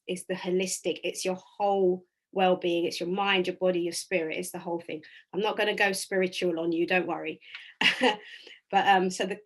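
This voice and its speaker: A woman presenting a live online wellbeing workshop over a video call, chatty and direct with many ums and restarts, her voice slightly raspy today. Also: lowish voice.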